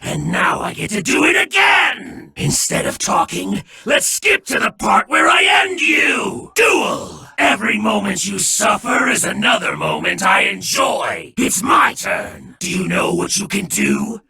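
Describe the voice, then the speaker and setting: An actor voicing a sadistic, arrogant villain duelist in an animated card game, theatrically menacing and gloating as he draws out his declarations. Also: high voice, unhurried, vocal fry, few pauses, shouting, recorded from a distance, full character voice. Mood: gloating menace. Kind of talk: animated game battle voice lines.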